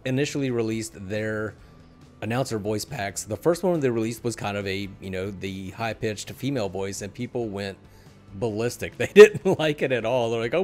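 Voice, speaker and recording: A man's voice: on the low side, darkish tone. A middle-aged man hosting a podcast in casual, conversational chat on a headset mic. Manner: appreciative, conversational. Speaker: podcast host. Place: small room at home.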